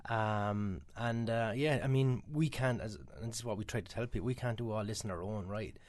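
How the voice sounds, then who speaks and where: This is an Irish man speaking as a guest on a radio interview. His voice sits a little low, and he talks fast and chattily, filling gaps with "um" and "uh". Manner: quick, chatty, many "um" fillers. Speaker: guest from a mental health charity.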